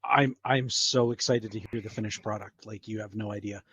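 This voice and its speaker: A man's voice: on the low side, fairly even intonation, fairly quick, fairly bright tone, breathy edge. A middle-aged man who works as a voice actor, chatting casually as a guest on a podcast. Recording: slightly dull, lo-fi sound.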